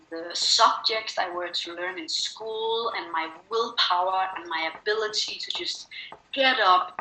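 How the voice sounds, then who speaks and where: A woman's voice: medium pitch, bright, forceful tone, reverberant, low-quality recording. A woman with a Danish accent telling a personal story as an interview guest, earnestly and emphatically, repeating phrases for stress. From Denmark. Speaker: interview guest, wellness speaker and coach.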